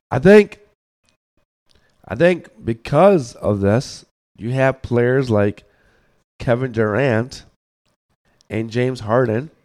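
A hoarse man, getting over the flu, hosts a podcast and delivers a blunt, scolding sports rant, often repeating words as he thinks. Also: mid-range voice, a little mellow. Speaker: podcast host.

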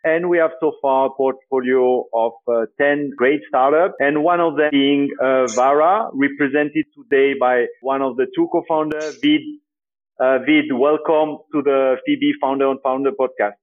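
A man's voice: mid-range voice, fairly even intonation, moderate pace. Hesitant male host on a tinny line. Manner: hesitant, many fillers and restarts. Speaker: podcast host, venture capitalist. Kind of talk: interview hosting.

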